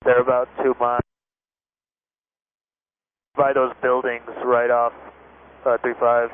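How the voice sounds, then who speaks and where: A man's voice: monotone, takes it slowly, clean tone. A man, a pilot, reporting informally to the tower over cockpit radio. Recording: tinny radio with faint cockpit rumble.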